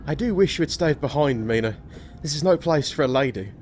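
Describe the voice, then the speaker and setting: A man as protective young English husband, sombre, old-fashioned speech, lightish pitch, audio drama. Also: expressive, fairly quick, darkish tone, slight background noise, close to an everyday voice. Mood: concerned. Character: earnest, protective young English gentleman, troubled. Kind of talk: theatrical audio drama acting with narration.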